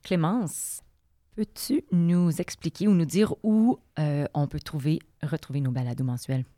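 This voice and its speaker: A woman's voice: sits low, fairly even intonation, measured pace, dark tone, no room sound, studio quality. A woman with a Québécois accent hosting a podcast around a table, upbeat and welcoming, laughing easily. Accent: Québécois French. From Québec. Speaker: podcast host. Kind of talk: casual podcast chat.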